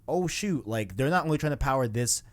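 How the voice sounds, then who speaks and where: A young adult man co-hosting a podcast, casually discussing video games in an agreeable, halting way. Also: mid-range voice, expressive. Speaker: podcast co-host.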